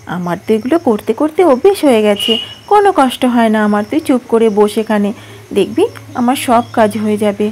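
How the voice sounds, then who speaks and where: A woman voicing a kind, patient young girl who pleads softly and sweetly. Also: fairly high pitch, animated, normal speed, a little hiss or hum, lightly acted. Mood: tender.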